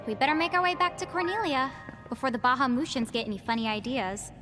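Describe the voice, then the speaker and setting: A sharp, level-headed young heroine, voiced by a woman, makes dry, sarcastic remarks in a fairly high voice. Game music plays clearly behind her. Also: quick pace, natural voice. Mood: wry. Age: young adult. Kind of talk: video game voice acting.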